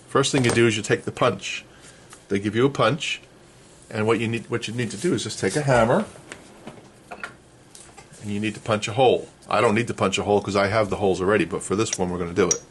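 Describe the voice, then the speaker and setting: A man with a fairly low voice hosts a casual hands-on tutorial. He explains each step plainly and practically, as if showing someone at a workbench. The recording has noticeable background noise behind his voice. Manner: explains step by step, checking with "okay". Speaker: host of a vintage radio restoration how-to.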